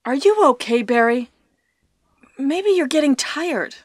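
A high, slow, earnest game heroine. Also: female voice, animated. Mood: calm, hesitant. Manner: stilted, slow, over-enunciated delivery. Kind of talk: 1990s video game voice acting. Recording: long hollow reverb.

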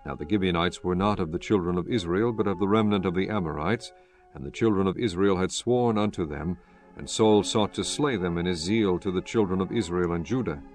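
A man narrating scripture from a script in a solemn, measured, formal manner. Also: low-pitched, a little mellow, soft background music.

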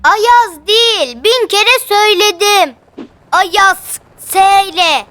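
A woman playing a spirited, touchy little boy in an animated children's cartoon. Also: very high-pitched, takes it slowly, full character voice. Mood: indignant. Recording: music behind the voice.

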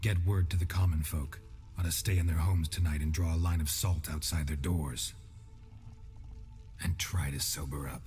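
An actor playing a stoic, cynical monster hunter in a video game, blunt and terse with a deadpan delivery. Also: male voice, a bass voice, normal speed, soft background music. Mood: dry, businesslike. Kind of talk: dramatic video game acting.

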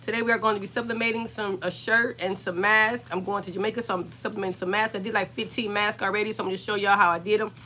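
A woman in her forties, hoarse and scratchy from being sick, chatting on a livestream from her home craft room and greeting viewers by name. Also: medium pitch, fairly even intonation, fast talker, few pauses, pushed and bright. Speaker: craft channel host.

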